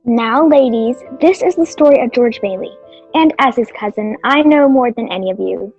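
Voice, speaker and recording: A woman's voice: fairly high pitch, normal speed, darkish tone, slightly rough, lo-fi sound, faint music behind. A woman playing a self-important, gossipy cousin who narrates an old-time radio play. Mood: knowing.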